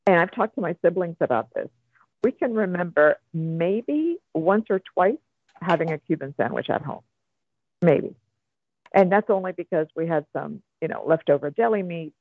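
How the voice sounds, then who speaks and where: A man's voice: fairly high pitch, lively intonation, normal speed, no room sound. A man from Cuba, a guest in a radio interview, talking in a chatty, rambling way with many fillers. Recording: tinny phone line.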